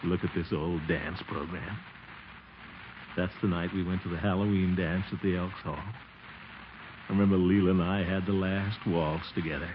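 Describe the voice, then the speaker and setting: An actor playing a flustered, sentimental middle-aged American bachelor in a theatrical 1940s radio comedy style. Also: male voice, sits low. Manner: theatrical, sighing, exclaiming. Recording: muffled 1940s radio recording with music.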